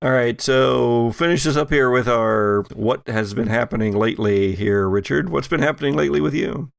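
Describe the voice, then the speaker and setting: A man's voice: medium pitch, normal speed, gravelly, no room sound, studio quality. A man chatting casually as a podcast host and game master. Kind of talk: casual chat.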